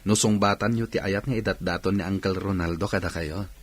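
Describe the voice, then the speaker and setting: A man's voice: deep voice, fairly even intonation, fairly quick. A man acting a good-natured, teasing young man in an Ilocano radio drama.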